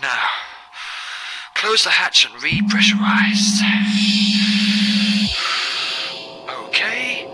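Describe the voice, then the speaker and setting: An actor playing a lonely, jittery British astronaut in a radio drama, muttering haltingly to himself. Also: male voice, high-pitched, big pitch swings, over loud music, full character voice. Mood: anxious, self-doubting. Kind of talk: internet radio drama monologue.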